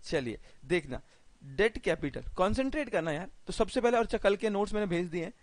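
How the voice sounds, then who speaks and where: An Indian man with a sore, hoarse throat teaching a live online lecture, chatty and repeating his phrases. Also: high-pitched, expressive, brisk, dry recording. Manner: chatty, repeats phrases, mixes English words.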